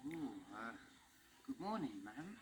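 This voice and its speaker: A woman's voice: sits low, big pitch swings, slow pace, slight background noise, heavily acted. A woman voicing a jittery, curious young boy on a space trip, stammering as he talks, in a 1970s storybook record. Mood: pleading hushed. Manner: stammering, hesitant.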